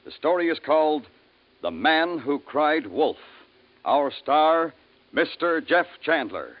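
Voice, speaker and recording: A man's voice: on the high side, very animated, slow. A man announcing a 1950s radio program from a script. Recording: muffled vintage radio recording with music behind. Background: music.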